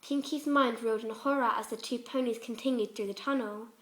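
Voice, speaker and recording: High voiced lady reading a fanfic out loud, dramatic story narration. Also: steady tone, a little fast. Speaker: fan fiction reader.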